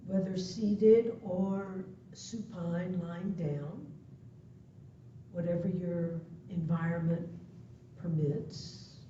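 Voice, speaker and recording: A woman meditation instructor leading a guided practice in soothing, deliberate phrases with long pauses between them. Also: fairly even intonation, warm tone. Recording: muffled, echoey, distant web-call audio, faint rumble.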